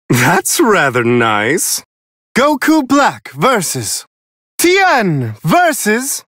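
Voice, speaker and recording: A man's voice: high voice, fairly bright tone, no echo, clean studio sound, full character voice. A man voicing a refined otherworldly angel who commentates video game fights with prim, serene, amused courtesy. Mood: poised announcing. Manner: prim, genteel, elongated formal phrasing.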